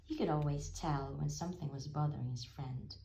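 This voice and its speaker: A woman reading a children's storybook aloud as an expressive storyteller. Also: low voice, a little fast, creaky, slight background noise, poor audio.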